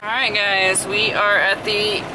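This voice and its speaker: Chatty woman vlogging over loud outdoor noise. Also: level tone, measured pace. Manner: chatty, talks to her dogs in a high coaxing voice. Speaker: truck driver vlogger. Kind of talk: casual vlog chat. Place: outdoors by truck lots and in a truck cab. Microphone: handheld camera at arm's length. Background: vehicle and outdoor noise.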